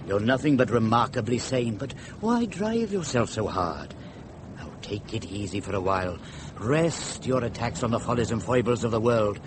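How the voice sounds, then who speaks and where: A man plays a town doctor in a drama, polite and patronising. His mid-pitched voice moves up and down a great deal, over clearly audible noise. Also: big pitch swings, normal speed, natural voice. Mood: placating, insistent. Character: placating male town doctor, patronising and insistent. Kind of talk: dramatic dialogue.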